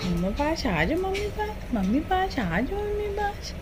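A woman's voice: very high-pitched, big pitch swings, normal speed, music in the background. A woman talking to her pet parrot at home in doting, sing-song baby talk. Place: living room at home, indoors. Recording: home phone video with background noise.

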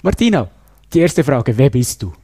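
Mellow-voiced male podcast host. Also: mid-range voice, very animated, breathy edge, no echo, studio quality. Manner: brisk, asks short questions. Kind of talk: interview hosting.

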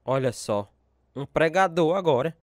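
A male actor plays a middle-aged father in an audio drama, sardonic and domineering. His voice is a touch high for a man and swings widely as he talks down to his son. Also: lively intonation, measured pace, dry recording, clean studio sound. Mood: scornful. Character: gruff, scornful father of a grown son.

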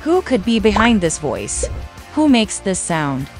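Woman, quiz host, scripted prompts, presenterly, expressive intonation, background music. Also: medium pitch, a little slow.

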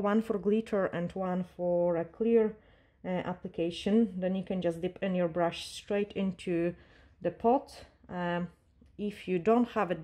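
Calm woman teaching a nail tutorial. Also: mid-range voice. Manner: calm, instructive, with frequent fillers and non-native grammar. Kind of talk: step-by-step tutorial narration while working. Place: nail salon desk.

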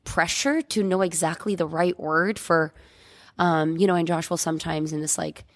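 A woman's voice: fairly low pitch, fairly even intonation, normal speed, no room sound. A woman from Bosnia, a painter, talking reflectively in a casual interview and hunting for words with many fillers. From Bosnia and Herzegovina.

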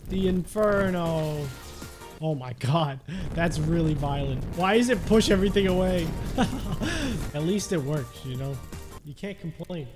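A young adult man giving casual, joking gameplay commentary in a playful, exclamatory, mock-serious way. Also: sits high. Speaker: gaming video host. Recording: music behind the voice.

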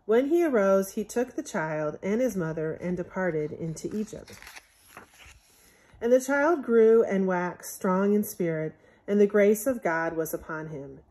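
A woman reading a Bible story aloud from a picture book in measured, scriptural phrasing, as a Sunday school storyteller. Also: mid-range voice, lively intonation, warm tone.

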